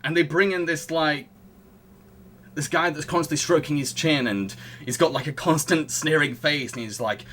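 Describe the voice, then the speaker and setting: Bright-voiced man chatting on a podcast. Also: a little high, normal speed, a little hiss or hum. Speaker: podcast host. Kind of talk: casual film review chat.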